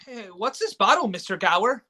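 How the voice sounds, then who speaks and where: A woman's voice: fairly high pitch, sing-song, brisk, bright, forceful tone, heavily acted. A woman playing an earnest, good-hearted young boy in a radio play, anxious, stammering and pleading. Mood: timid.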